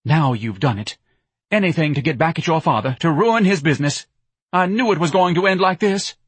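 A man's voice: a little high, animated, fairly quick, slightly rough, dry recording, pitched above the performer's own voice. Man putting on a wailing, nagging middle-aged wife. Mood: accusing, outraged. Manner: wailing, accusatory.

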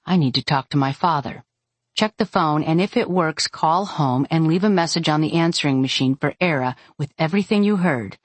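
Woman acting adult female fighter, audiobook character, low and husky, fierce, impatient, commanding, emphatic. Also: normal speed, dry recording. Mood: urgent, commanding.

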